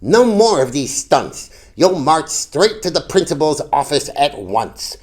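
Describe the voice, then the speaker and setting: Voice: mid-range voice, sing-song, unhurried, breathy edge. A reader voicing a stern, pompous middle-aged male schoolteacher in a storybook, haughty and scolding with emphatic commands. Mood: exasperated.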